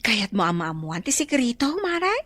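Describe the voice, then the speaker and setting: A woman's voice: on the high side, sing-song, normal speed, fairly bright tone, no echo. A woman playing a nosy, scheming and pushy neighbour in an Ilocano radio drama, with an Ilocano accent. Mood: gossiping.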